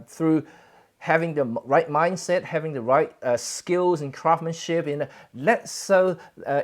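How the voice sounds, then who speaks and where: A Cantonese-native man from Hong Kong chats casually to viewers of his photography livestream. He talks in a halting, relaxed way, full of fillers and restarts, from a home setup. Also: medium pitch. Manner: halting, many fillers and self-corrections. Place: home, indoors. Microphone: camera setup for streaming.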